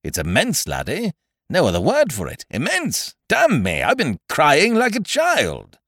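A man performs a chummy chancer from a comic story, all effusive heartiness and wheedling. The delivery is extremely animated, swooping high on key words. The sound is close and dry. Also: unhurried, no echo, exaggerated voice. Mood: gushing flattery. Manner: effusive, cajoling, old-fashioned British slangy address. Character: breezy male scrounger, wheedling, overfamiliar, falsely hearty chum.

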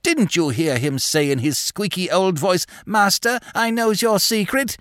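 A man voicing an agitated, rambling old gentleman with a sharp, unnatural voice and wild, excitable outbursts. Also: sits high, unhurried, clean tone, no echo, studio quality, voice pushed up. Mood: wild, excited.

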